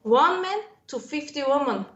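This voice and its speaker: A woman from Belgium calls in to a debate show, her voice somewhat high as she pauses and fills with hesitations while holding her ground. The call sounds roomy and echoing, with a dull, lo-fi tone. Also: animated, a bit bright. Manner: hesitant, filler-heavy. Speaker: caller.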